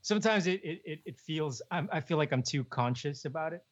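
A young man, co-hosting a podcast in relaxed, chuckling casual chat. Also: fairly high pitch, fairly quick. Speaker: podcast co-host. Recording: dull lo-fi podcast audio.

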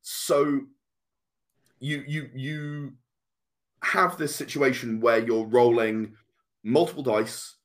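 A man with a British accent and a mid-range voice talks through an explanation as a guest. He is earnest and conversational, often pausing to restart or rephrase his sentences. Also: mid-range voice, fairly even intonation. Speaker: guest, tabletop RPG and comic creator.

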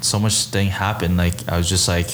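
Low flat-voiced young man, Mandarin native. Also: moderate pace, music in the background. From Taiwan. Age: young adult. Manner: reflective, fills speech with "like". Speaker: guest photographer. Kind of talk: casual podcast conversation. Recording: noisy room ambience.

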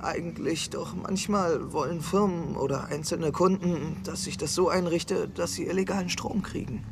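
Nervous, high-voiced male hostage. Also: normal speed, vocal fry, background noise. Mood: nervous confession. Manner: hesitant, anxious. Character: nervous, intimidated male electrician held hostage. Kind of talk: German-dubbed TV series dialogue. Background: music.

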